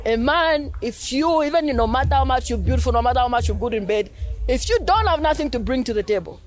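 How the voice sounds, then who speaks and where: A young woman from Zambia with a Zambian accent, a guest in a casual interview, speaking boldly and self-assuredly on a boom mic at an outdoor night set. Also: lively intonation, quick pace, soft background music. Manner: bold, self-assured, drawling. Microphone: studio mic on a boom arm.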